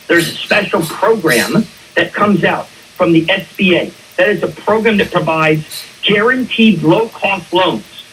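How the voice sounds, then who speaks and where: High-pitched man giving emphatic expert advice on radio. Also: a bit bright, far from the mic, slight background noise, low-quality recording. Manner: emphatic, explanatory, asks rhetorical questions. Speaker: attorney and insurance claims expert, radio guest. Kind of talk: conversational advice on a radio talk show. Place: radio station.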